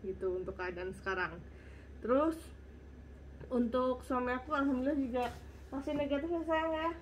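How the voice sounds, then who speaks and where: A woman's voice: sits high, normal speed, lo-fi sound, a little hiss or hum. Congested young woman chatting at home. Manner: chatty, reads questions out. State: congested, nasal voice; short of breath. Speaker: vlogger. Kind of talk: casual Q and A chat.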